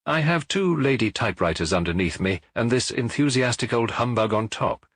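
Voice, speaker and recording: A man's voice: mid-range voice, expressive, moderate pace, a bit bright, husky. A man voicing a tall private detective in an audiobook story, amused, careless and lightly conversational. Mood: amused, breezy.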